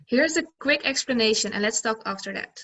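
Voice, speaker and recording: A woman host with a very bright, forceful voice, pitched a little high. She presents from a script. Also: brisk.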